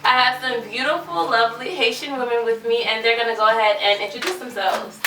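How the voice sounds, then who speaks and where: A young woman hosting a casual chat with friends, upbeat and quick to laugh. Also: on the high side, steady tone, bright, forceful tone. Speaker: host. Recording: echoey room, mic a bit far, music behind.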